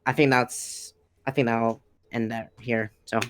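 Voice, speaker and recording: A man's voice: mid-range voice, little pitch movement, a little slow, a little hiss or hum. A man chatting casually on an online panel, moderating and speaking as a voice actor in a hesitant, polite way. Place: online panel over voice call.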